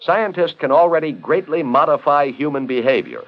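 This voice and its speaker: A man's voice: a little high, lively intonation, moderate pace. An American man delivering scripted narration for an educational film. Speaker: documentary narrator. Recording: dull 1970s 16mm film soundtrack with music. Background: background music.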